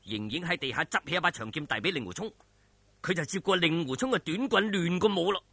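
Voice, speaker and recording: A man's voice: a little high, animated, fast talker, gravelly, pushed and bright, breathy edge, dry recording. A man with a Hong Kong Cantonese accent narrating a martial arts story in a theatrical, colloquial, emphatic way. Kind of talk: dramatic wuxia audiobook narration.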